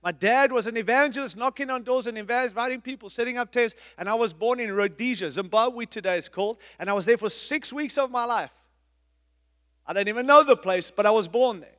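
A middle-aged man, a pastor, preaching a sermon in an emphatic, repetitive style with big swings in loudness. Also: very high voice, fairly quick, long reverb tail. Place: church.

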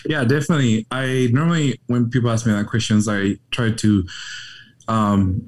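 A man in his thirties from Chile, speaking English with a Chilean Spanish accent, telling his story as a guest in a reflective way with frequent pauses. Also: medium pitch, steady tone. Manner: reflective, measured, with frequent ums. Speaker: hospital chaplain, guest. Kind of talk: conversational interview.

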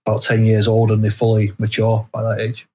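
A man chatting casually as an interview guest, speaking haltingly with hesitant, broken phrasing and repeated false starts. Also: fairly low pitch, completely flat delivery, dark tone, slight background noise. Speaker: guest author.

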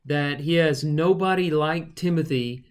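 A man's voice: a little high, a little slow. A man preacher co-hosting a casual Bible study podcast, thinking aloud with fillers and restarts.

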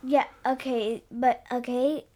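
A woman's voice: on the high side, steady tone, slow pace, mellow. A woman co-hosting a podcast, chatting casually, questioning and interjecting as she reacts. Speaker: podcast co-host. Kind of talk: casual chat, reacting to comics.

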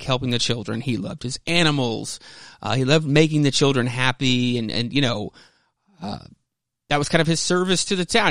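A man's voice: fairly high pitch, a bit bright, dry recording, clean studio sound. A man hosting a podcast with casual, rambling commentary, often cutting himself off and swearing freely. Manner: rambling, hesitant, self-interrupting, profane. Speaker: podcast host.

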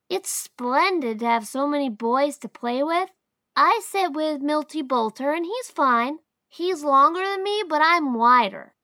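A woman's voice: high-pitched, fairly bright tone, no echo, studio quality, pitched above the performer's own voice. A woman voicing a chatty, eager six-year-old boy. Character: boastful lively small boy, about six.

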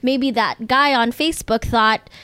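A woman's voice: a little high, steady tone, a little slow, bright, forceful tone, clear, resonant tone, dry recording. A woman co-hosting a podcast in casual conversation, speaking candidly and swearing casually. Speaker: podcast co-host.

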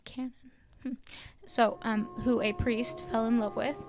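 A woman's voice: on the high side, fairly even intonation, measured pace, over music. A woman from Canada co-hosting a podcast, casually telling old legends with lots of ums and likes. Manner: chatty, lots of likes and ums, laughs at own stumbles. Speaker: podcast co-host.

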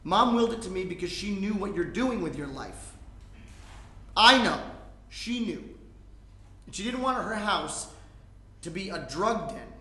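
A man acting out a fretful, fed-up younger brother in a live comedic play reading. His voice is high for a man, with terse, testy replies. It rings in a roomy space. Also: expressive, a little slow, long pauses, bright, forceful tone, exaggerated voice. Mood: angry, resolute. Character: exasperated, anxious younger brother standing his ground. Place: indoor room before a live audience. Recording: roomy live stage recording.

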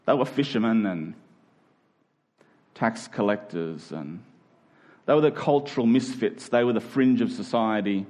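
A man's voice: on the low side, animated, measured pace, long pauses, darkish tone. A man preaching a conversational sermon to a congregation, in colloquial language with emphatic repeated phrases. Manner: colloquial, dramatizing imagined exchanges.

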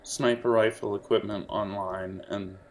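A man narrating as game master of a tabletop role-playing game, describing scenes in a halting, lively way. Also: fairly low pitch, a little hiss or hum.

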